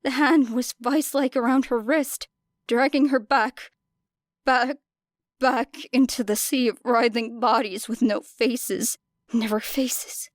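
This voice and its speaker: A woman's voice: on the high side, fairly even intonation, slow pace, no echo, studio quality. A woman reading scripted fiction as an audiobook narrator, with dramatic delivery.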